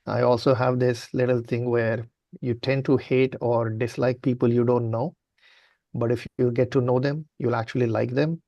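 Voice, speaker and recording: A man's voice: medium pitch, flat delivery, moderate pace, warm tone, no room sound, muffled recording. A man from India who works as a comedian and show producer, talking in a casual panel discussion.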